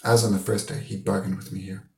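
A man's voice: sits low, flat delivery, fast talker, creaky, dark tone. A man with an Irish accent reading literary narration as an audiobook reader. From Ireland. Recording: roomy echo.

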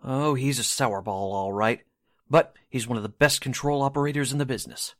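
Medium-pitched, affable radio station man acted. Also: brisk, fairly bright tone, natural voice. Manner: explanatory, businesslike. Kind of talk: radio drama acting.

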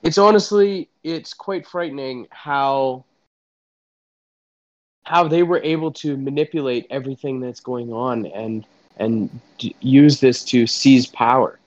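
A young Canadian man co-hosting a podcast from home, speaking slowly and solemnly in a deadpan tone. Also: mid-range voice. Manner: slow, drawn-out, solemn. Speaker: podcast co-host. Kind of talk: deadpan mock-serious comedy chat. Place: home, remote recording. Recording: dull, dry, lo-fi sound.

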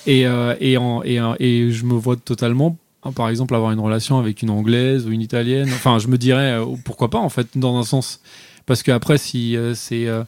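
Brief: man talking at length, disagreeing politely, mid pitch, dry close recording. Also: flat delivery, a little fast, darkish tone, no echo. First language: not French. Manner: argumentative, apologetic, rambling. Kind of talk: casual debate.

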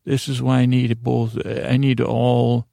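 Gravelly, creaky man rambling softly for bedtime. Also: mid-range voice, monotone, no room sound, studio quality. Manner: meandering, halting, self-interrupting, trails off on tangents. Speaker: podcast host. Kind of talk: rambling bedtime podcast monologue.

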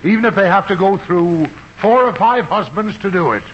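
An actor playing a cranky, sarcastic middle-aged American boss in a 1950s radio sitcom, condescending and exclamatory. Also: male voice, high voice, lively intonation, normal speed. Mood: sardonic. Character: cranky blustering middle-aged male boss, sarcastic about his wife. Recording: muffled, noisy old radio recording.

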